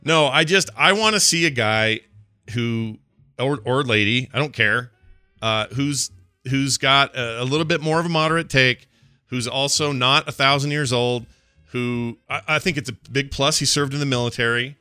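A man co-hosting a casual political chat podcast. He talks in a relaxed, conversational way and trails off into a low mutter. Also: moderate pace, clear, resonant tone, no room sound, faint music behind. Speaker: podcast co-host.

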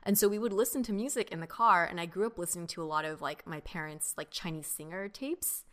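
A young woman from the United States, co-hosting a podcast and telling childhood stories in a relaxed, reflective way, with many fillers. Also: on the low side, quick pace. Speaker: podcast co-host. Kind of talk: casual chat.

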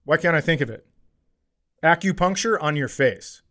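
Higher-pitched man in his forties, joking. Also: lively intonation, moderate pace, fairly bright tone. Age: 40s. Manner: teasing, self-deprecating, swearing. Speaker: podcast co-host, comedian. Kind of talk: casual comedic banter and storytelling.

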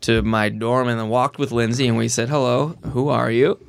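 A man's voice: medium pitch, fairly even intonation, moderate pace, gravelly, clean tone. A young man from Massachusetts, wry and laid-back, casually chatting as a podcast guest. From Massachusetts, South Shore.

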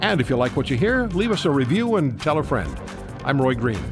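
A man's voice: mid-range voice, sing-song, a little fast. A man reading a scripted podcast outro in an upbeat, promotional way. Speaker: podcast host. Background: music bed.

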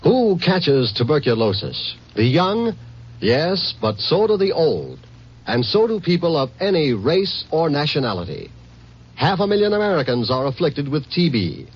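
Male announcer, 1950s charity appeal, earnest, fairly high husky voice, lively intonation, muffled recording. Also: normal speed. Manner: rhetorical, emphatic, formal. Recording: muffled vintage radio broadcast.

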